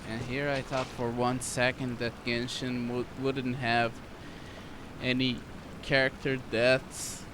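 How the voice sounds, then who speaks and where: Slow-talking male game streamer. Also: mid-range voice, flat delivery, noisy background. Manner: muttered, repetitive reactions. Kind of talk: casual let's-play commentary. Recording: game music behind voice. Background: video game music.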